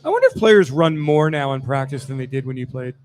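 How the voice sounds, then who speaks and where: A man's voice: mid-range voice, animated, fast talker, a little mellow. A man with an American accent asking questions in casual sports talk as part of a panel.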